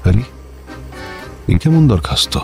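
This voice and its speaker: An actor playing an officious, excitable district magistrate in a comedy radio play, with a clipped manner and English words mixed in. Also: male voice, lowish voice, slow, gravelly, faint music behind, exaggerated voice. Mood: incredulous. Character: officious, excitable male district magistrate, bossy to juniors, servile to superiors. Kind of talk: Bengali comedy radio drama acting.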